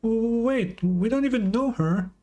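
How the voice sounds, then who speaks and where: A man voicing a small, excitable female fairy companion in a video game, whiny, stammering and easily flustered. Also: extremely high pitch, unhurried, pitched above the performer's own voice. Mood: anxious. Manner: flustered, stammering, refers to self by name.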